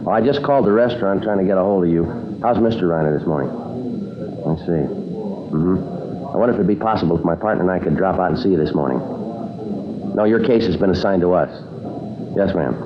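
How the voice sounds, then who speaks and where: An actor playing a stoic American police detective sergeant in 1950s radio drama style, clipped and matter-of-fact. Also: male voice, low voice, brisk, pauses often, crowd noise, natural voice. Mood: polite, businesslike. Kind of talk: 1950s radio drama acting and case narration. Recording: dull, noisy old radio recording.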